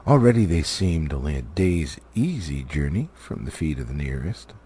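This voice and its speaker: A man reading a storybook aloud in an expressive narrative style, as an amateur audiobook reader. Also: extremely low pitch, moderate pace, breathy edge.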